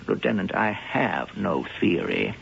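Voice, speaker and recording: Suave evasive professor, old radio drama, lively pitch, gravelly, dull lo-fi sound. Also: male voice, a little slow, heavily acted. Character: suave male professor, cat expert, secretive. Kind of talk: 1970s radio acting. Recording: lo-fi old radio broadcast with hiss.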